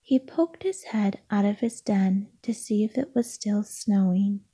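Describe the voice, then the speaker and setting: A woman reading a children's picture book aloud as narrator, in a calming, gentle, hushed bedtime-story manner. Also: medium pitch, a little slow, slightly rough.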